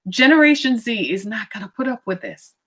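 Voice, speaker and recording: A middle-aged woman giving an impassioned monologue, full of rhetorical questions and sudden swings in pitch and pace. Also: lively intonation, a little fast, bright, forceful tone, husky. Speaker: speaker on racism.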